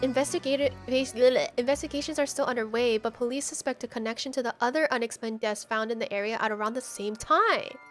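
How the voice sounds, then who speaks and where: A woman streamer playing a game, reading its text aloud and chatting casually in an excitable, slangy way. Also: a little high, fast talker, few pauses, soft background music.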